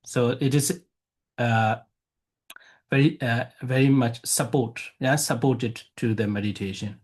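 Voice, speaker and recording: A man whose first language is Burmese, a monk teaching a lecture, talking haltingly with many fillers and restarts. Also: mid-range voice, fairly even intonation, slightly rough. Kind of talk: Dharma lecture with questions.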